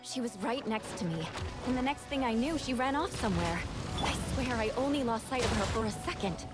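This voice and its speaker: A woman's voice: a little high, expressive, fairly quick, lightly acted. An actress voicing a composed, proud young knight woman in a video game, dramatic in delivery. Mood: worried, guilty. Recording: game audio under loud music. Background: loud game music, combat crashes.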